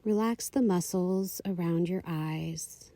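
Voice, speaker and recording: A woman leading a guided meditation, giving calm, soothing, unhurried instructions. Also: lowish voice. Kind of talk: guided meditation, slow scripted narration.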